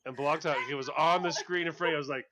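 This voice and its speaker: A man's voice: fairly high pitch, steady tone, racing, crowd noise. A male radio host in casual on-air banter, joking and laughing often as he tells stories.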